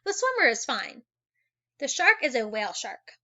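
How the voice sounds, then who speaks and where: A woman teacher reading an educational children's book aloud in an animated way, adding exclamations and wondering asides for young listeners. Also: fairly high pitch, normal speed, muffled recording.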